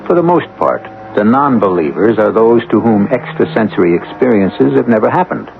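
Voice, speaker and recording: A man hosts a vintage radio mystery with theatrical deliberation. His low voice swings widely in pitch. The broadcast is thin and lo-fi, with light noise. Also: sing-song, moderate pace, gravelly. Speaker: host of a radio mystery anthology. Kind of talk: 1970s radio drama introduction, scripted. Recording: lo-fi, noisy old radio broadcast.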